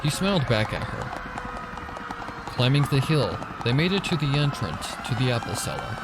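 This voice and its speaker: A man's voice: medium pitch, sing-song, moderate pace, heavy background noise. A man narrating a story in a dramatic, suspenseful, theatrical scripted style. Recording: loud ambient sound effects over the voice.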